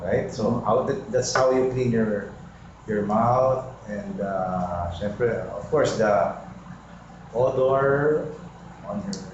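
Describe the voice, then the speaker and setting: Slow halting Filipino man in his fifties. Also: on the low side. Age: 50s. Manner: slow, halting, searching for words. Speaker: focus group participant, businessman. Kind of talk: casual group discussion. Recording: echoey room, lo-fi, background noise.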